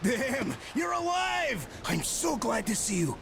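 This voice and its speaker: Relieved, excited comrade, high-pitched, with loud music behind. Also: male voice, takes it slowly, creaky, exaggerated voice. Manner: excited, shouting. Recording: music behind, voice far from mic.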